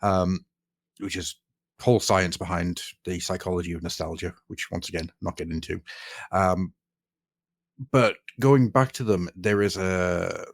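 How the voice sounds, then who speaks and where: Very deep-voiced man chatting casually. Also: steady tone, measured pace, creaky. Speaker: podcast host. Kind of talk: casual podcast chat.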